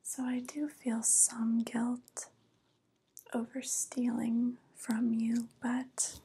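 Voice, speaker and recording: A young woman playing a vain, sly witch in a soft-spoken ASMR roleplay, breathy and whispery, cooing with false tenderness close to the microphone. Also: on the high side, completely flat delivery, full character voice. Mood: smug, sly. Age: young adult. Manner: slow, cooing, gently condescending. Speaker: ASMR performer.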